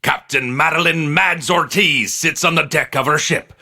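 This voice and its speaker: A man playing a wry, folksy storyteller with a raspy, gravelly voice, narrating a pirate audio drama. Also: fairly high pitch, moderate pace, bright, forceful tone. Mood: wry, teasing.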